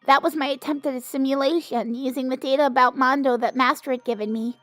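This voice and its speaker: A woman voicing a gentle, earnest AI computer program in an anime fan dub. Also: high voice, steady tone, quick pace, no room sound, faint music behind.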